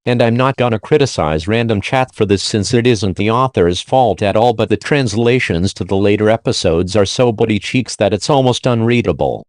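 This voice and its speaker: Male review host, lowish pitch, dry recording. Reads a scripted ranked list in casual, slangy language. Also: moderate pace, hardly any pauses, dry recording. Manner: informal, slangy. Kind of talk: scripted countdown review narration.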